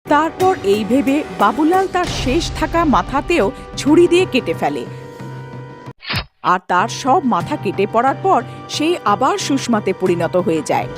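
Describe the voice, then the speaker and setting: A woman narrates a cartoon tale from a script. Her voice is fairly high with very animated intonation, and music is clearly present behind her. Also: moderate pace.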